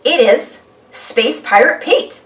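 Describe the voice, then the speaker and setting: A woman narrating a children's storybook in an animated read-aloud style. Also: high-pitched, slow pace, far from the mic. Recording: roomy, voice away from microphone.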